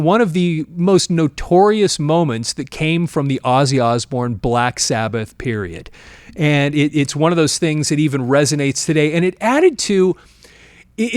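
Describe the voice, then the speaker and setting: Guy hosting a music history show, kinda high voice, casual chatty storytelling, super dry audio. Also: dry recording. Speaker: host of a music history channel. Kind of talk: casual storytelling, reading out old articles.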